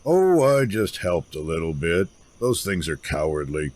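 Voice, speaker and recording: An actor playing a gruff, self-reliant woodsman with a folksy, sardonic, grudging way of talking in a fantasy audio drama. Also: low voice, sing-song, unhurried, a little mellow. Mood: matter-of-fact.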